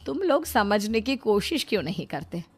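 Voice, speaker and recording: A woman's voice: medium pitch, big pitch swings, normal speed, slightly rough. A woman voicing a shrewd middle-aged mother-in-law in a story, coaxing, motherly and firm. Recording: music behind the voice.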